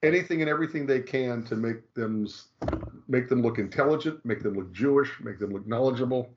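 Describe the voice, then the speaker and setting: A man's voice: mid-range voice, a little fast, dull audio, noisy background. A man appearing as a rabbi guest, explaining emphatically and repeating his key phrases. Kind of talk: explanatory discussion.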